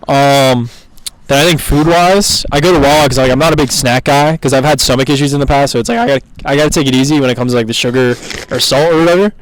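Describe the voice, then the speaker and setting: A man's voice: medium pitch, fast talker, poor audio. A man of twenty, a videographer and co-host, talking in a casual, loose way, full of "like" and "bro". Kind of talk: casual chat.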